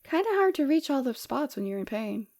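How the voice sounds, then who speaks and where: A woman playing a flirtatious, forward rebel villainess with a secretly caring side, in a soft, teasing, intimate ASMR roleplay. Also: high-pitched, very animated, fairly quick. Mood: tender, concerned.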